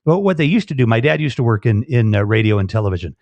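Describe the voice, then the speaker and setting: A man from Chicago hosting a casual live chat, animated and jokey. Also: mid-range voice, quick pace, no echo, highs cut off. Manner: animated, jokey, puts on voices. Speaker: host.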